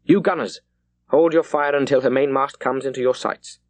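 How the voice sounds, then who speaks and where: A man's voice: medium pitch, steady tone, moderate pace, no echo, voice pushed up, lightly acted. A man acting a commanding young naval captain barking orders. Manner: barks crisp orders, clipped and urgent. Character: commanding young male British naval captain, decisive, curt. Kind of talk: dramatised audiobook character.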